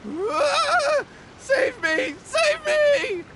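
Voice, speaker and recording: An actor playing an anxious, remorseful young brother in a video game, hesitant and pleading. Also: male voice, extremely high pitch, very animated, extremely slow, very noisy, exaggerated voice. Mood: terrified.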